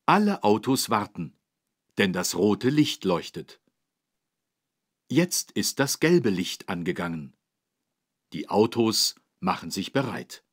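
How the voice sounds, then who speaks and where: A low, husky-voiced man as a friendly teacher in a children's learning game. His scripted narration is patient and encouraging, with very lively intonation. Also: slow pace, long pauses, dry recording, studio quality, heavily acted. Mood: instructive. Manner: encouraging, clearly didactic. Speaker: instructor and narrator.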